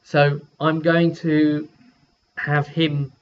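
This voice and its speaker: A man's voice: fairly high pitch, level tone, extremely slow. A man who works as a game master, casually recapping a role-playing session and thinking aloud in a rambling way. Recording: dull lo-fi sound with background noise.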